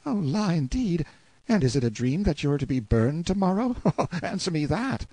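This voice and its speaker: A man's voice: on the high side, very animated, moderate pace, mellow, no echo, voice pushed up. A man voicing a timid, superstitious medieval page boy with archaic, pleading speech in an audiobook. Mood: teasing, amused. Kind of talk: audiobook character acting.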